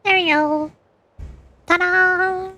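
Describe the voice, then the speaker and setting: Very high-voiced woman streamer. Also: steady tone, drawn-out words, sharp tone. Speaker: VTuber streamer. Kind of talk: casual livestream chat.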